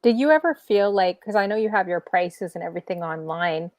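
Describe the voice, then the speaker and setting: A woman's voice: fairly low pitch, animated, fairly quick, a little mellow, no room sound, clean studio sound. A woman from Toronto hosting a talk show, chatting casually and asking loose, friendly questions full of fillers. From Toronto, Canada. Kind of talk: casual interview chat.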